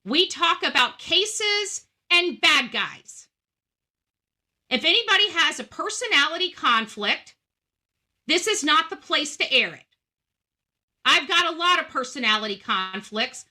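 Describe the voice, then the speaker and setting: A woman with a strikingly bright, forceful voice that sits a little high. She delivers an earnest monologue as a true crime channel host, leaning on repeated phrases to press each point home. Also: animated, unhurried. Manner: emphatic, repeating phrases for stress.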